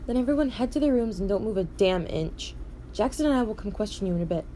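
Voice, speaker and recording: A woman's voice: mid-range voice, expressive, fast, mellow, a little hiss or hum, natural voice. A woman playing a bossy, hospitable lodge keeper in an amateur puppet show, with a theatrical storytelling manner. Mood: brisk, commanding.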